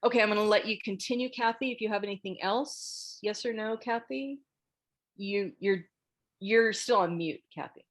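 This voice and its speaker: A woman's voice: medium pitch, normal speed. A woman hosting a casual group discussion over a video call, politely directing the others. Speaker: host moderating a script table read.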